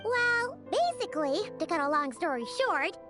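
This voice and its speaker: A tiny childlike companion from a video game. The voice is extremely high and squeaky, with very animated swings in pitch. The manner is curious, chatty and inquisitive, over background music. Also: female voice, normal speed. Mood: frank, explaining. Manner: inquisitive, refers to self in third person. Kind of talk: video game cutscene acting.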